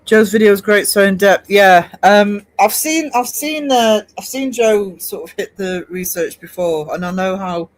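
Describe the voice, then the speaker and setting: A man's voice: sits high, a little slow, hardly any pauses, no room sound. A man hosting a casual livestream, chatting and rambling to his viewers with lots of fillers and restarts. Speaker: art livestream host. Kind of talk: casual chat reading live comments.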